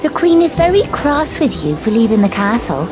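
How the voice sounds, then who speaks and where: Game cutscene role: officious royal attendant, very animated high voice, scolding. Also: female voice, normal speed, over loud music. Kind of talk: video game cutscene acting.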